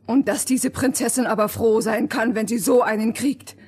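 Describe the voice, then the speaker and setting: An actress playing a devoted, anxious miller's wife with a fervent, emotional manner in a fairy-tale audio drama. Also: female voice, a little high, steady tone, normal speed, darkish tone, husky. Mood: fervent, tearful.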